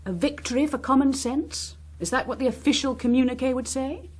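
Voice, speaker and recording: A woman's voice: high voice, brisk, a little mellow, breathy edge. An actress playing a bitter, proud, defiant woman, sardonic and clipped, in a naturalistic political drama. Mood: bitter, angry.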